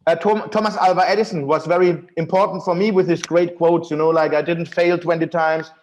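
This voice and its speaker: A man's voice: on the high side, steady tone, brisk, a little mellow. A man with a German accent, a guest author on a podcast, telling his story earnestly and with enthusiasm. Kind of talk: podcast interview.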